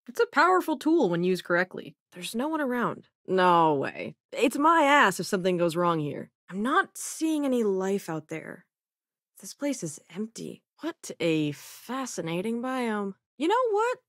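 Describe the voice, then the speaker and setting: An actress voicing a cynical, dry-witted spacefaring hacker in a video game, with a sardonic, conversational manner. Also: female voice, medium pitch, dry recording, close to an everyday voice. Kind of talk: video game companion voice lines.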